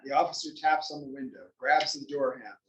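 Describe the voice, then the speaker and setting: A man reads stage directions in scripted narration. His voice is mid-pitched and sounds distant, with plenty of room echo. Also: brisk, fairly bright tone, dull audio. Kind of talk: scripted narration at a table read. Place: library room, table read. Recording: echoey library room, distant mic.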